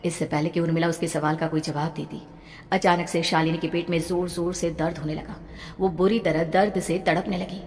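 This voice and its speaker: Woman narrating horror in deep reverb. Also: flat delivery, brisk, a little mellow. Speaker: storyteller. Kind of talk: dramatic scripted horror narration. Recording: long reverb, background noise.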